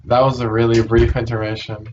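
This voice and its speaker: A man's voice: medium pitch, flat delivery, brisk, a little mellow. A young man from Puerto Rico hosting a casual podcast chat, hesitant, with many fillers and restarts. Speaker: host. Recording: dull lo-fi sound with faint hiss.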